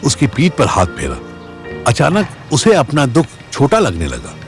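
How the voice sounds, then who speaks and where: A man's voice: medium pitch, huge pitch swings, unhurried, over music. A man narrating a Hindi audio story in a dramatic scripted style.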